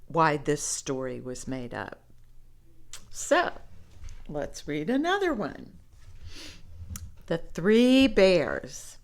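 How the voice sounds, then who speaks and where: A woman reading stories aloud to children in an expressive, conversational way, as if talking directly to young listeners. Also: fairly low pitch. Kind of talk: read-aloud storytelling with chatty commentary.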